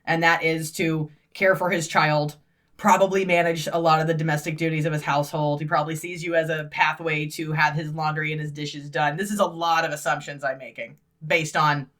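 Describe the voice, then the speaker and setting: A woman in her thirties co-hosting a podcast, chatting in a blunt, brash way with plenty of swearing and quick laughs. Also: low voice, fairly even intonation, a bit bright. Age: 30s. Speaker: podcast co-host. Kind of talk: casual chat.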